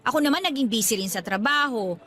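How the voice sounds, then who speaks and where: A woman's voice: a little high, expressive, quick pace, fairly bright tone. An actress playing an insistent, dramatic grown daughter nagging her mother in a Filipino radio drama. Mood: friendly, coaxing.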